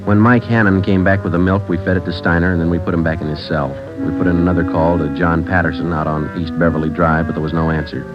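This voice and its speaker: A man playing a terse, deep-voiced police sergeant. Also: fast, hardly any pauses, highs cut off, natural voice. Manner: clipped, flat, matter-of-fact. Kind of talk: 1940s radio drama narration. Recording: old radio broadcast with music.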